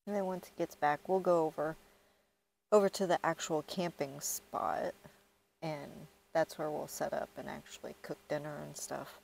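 A middle-aged woman chatting casually to herself while camping, a little stuffy, with soft murmured asides. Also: sits low, steady tone, normal speed, gravelly, a little hiss or hum, low-quality recording. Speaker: camping vlogger. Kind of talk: casual solo vlog chat. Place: outdoors in pine woods.

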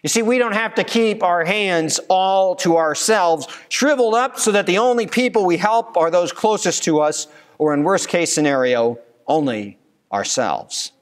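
A man's voice: sits high, moderate pace. A man in his thirties, a pastor preaching a sermon at a church pulpit, earnest and given to rhetorical questions. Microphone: pulpit microphone.

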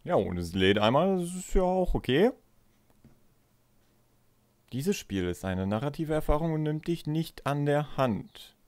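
A man's voice: on the high side, very animated, a little slow, pauses often, a little mellow, no room sound. A young man giving casual let's play commentary, chatting and thinking aloud as he goes. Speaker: let's player.

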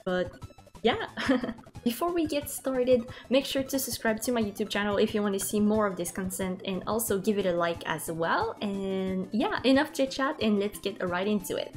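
A woman's voice: medium pitch, animated, moderate pace, soft background music. A young woman from Quebec with a French Canadian accent, chatting casually with lots of ums as she narrates her bullet journal video. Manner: chatty, many ums and uhs, light laughs.

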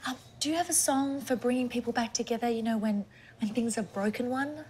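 A young Australian actress playing a naive, earnest volunteer producer who talks eagerly and breathlessly. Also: female voice, on the high side, a little fast, darkish tone, slight background noise, close to an everyday voice. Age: young adult. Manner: eager, breathless, well-meaning. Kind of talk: TV comedy acting.

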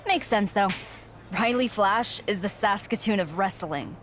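A woman's voice: mid-range voice, measured pace, fairly bright tone, a little hiss or hum, lightly acted. An actress playing a cocky young female wrestler in a video game cutscene, speaking in a dry, teasing, confident way.

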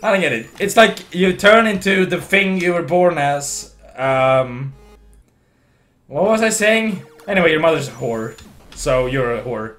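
A man's voice: a little high, animated, measured pace, fairly bright tone, soft background music. A young Swedish man with a strong Swedish accent, drunk, rambling and slurring through casual commentary while streaming a video game. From Sweden. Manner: rambling, giggly, slurring and restarting words. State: voice going hoarse. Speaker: livestream gamer.

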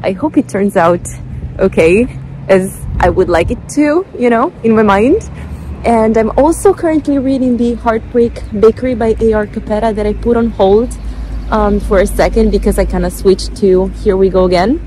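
A woman's voice: mid-range voice, moderate pace, dark tone, low-quality recording. A woman in her thirties talking upbeat into a phone held at arm's length as she walks outdoors on a street. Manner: chatty, upbeat. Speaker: book vlogger. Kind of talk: casual walking vlog. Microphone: handheld phone at arm's length. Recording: outdoor street with faint traffic.

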